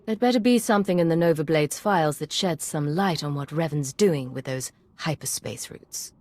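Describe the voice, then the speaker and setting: A secret agent in a science-fiction game. Her voice is husky and on the low side. She sounds cool, self-assured and teasing. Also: normal speed, darkish tone. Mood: probing, teasing. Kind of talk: video game voice acting.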